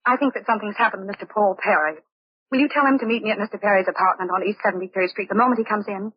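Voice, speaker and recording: A woman's voice: medium pitch, very fast, husky, dry recording, close to an everyday voice. An American woman acting a worried, affectionate and exasperated girlfriend in an old-time radio drama, theatrical and pleading. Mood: anxious. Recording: dull, noisy old radio recording.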